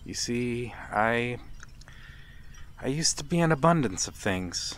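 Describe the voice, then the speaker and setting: A man playing a wistful, courteous vampire in a gentle, formal ASMR roleplay. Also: mid-range voice, expressive. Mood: melancholy, reflective. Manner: gentle, formal, halting with soft chuckles and sighs. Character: wistful, courteous old-world male vampire stranger by a harbour. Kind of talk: ASMR audio roleplay, slow intimate monologue.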